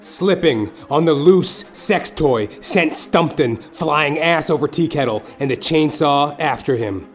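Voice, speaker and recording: A man's voice: fairly high pitch, lively intonation, unhurried, few pauses, faint music behind. A man playing a world-weary, down-on-his-luck private detective, narrating a comic noir audio play with wry delivery. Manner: wry, hard-boiled storytelling.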